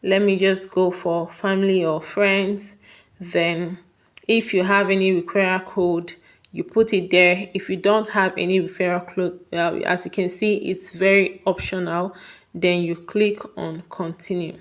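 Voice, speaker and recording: Slow, patient Nigerian woman. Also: on the low side, level tone, low-quality recording. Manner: patient, repeats "okay?" to check in with viewers. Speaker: tutorial vlogger. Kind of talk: step-by-step screen walkthrough.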